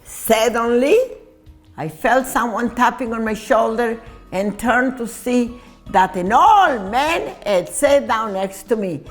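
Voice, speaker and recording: A woman reading a children's story aloud in an expressive, deliberate way, occasionally stumbling over words. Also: on the high side, soft background music. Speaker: storytime reader.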